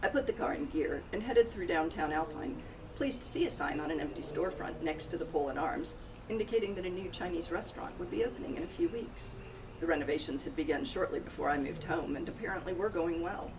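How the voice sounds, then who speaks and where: A woman's voice: on the low side, animated, quick pace. A woman author reading first-person narration from her own cozy mystery novel. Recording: distant mic, loud outdoor noise, birds, crickets.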